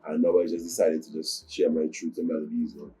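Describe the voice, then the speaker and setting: A young man from Nigeria, a first-language Yoruba speaker, explaining in a measured and earnest way as a guest musician in an interview. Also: very deep voice, level tone, dark tone. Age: young adult. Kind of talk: interview answers.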